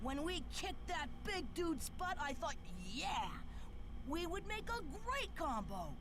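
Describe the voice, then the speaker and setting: A man's voice: very high-pitched, sing-song, slow, background noise, heavily acted. An actor voicing a cocky, bratty young boy robot hunter in a video game, chirpy and flippant. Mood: wistful, eager. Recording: game dialogue under loud music.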